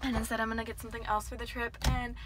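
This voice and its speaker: A woman's voice: fairly high pitch, level tone, rapid-fire. A young American woman chatting to camera in a casual, upbeat, informal vlog style. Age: young adult. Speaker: vlogger. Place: parked car and bedrooms indoors. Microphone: phone camera at arm's length. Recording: noisy phone-camera vlog audio.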